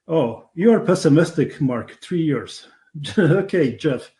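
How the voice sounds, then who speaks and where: A man's voice: on the high side, expressive, takes it slowly, warm tone. A man with a Polish accent, born in Poland, hosting an online webinar and persuading his audience, often checking in with them. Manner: persuasive, keeps asking the audience "right?". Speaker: webinar host.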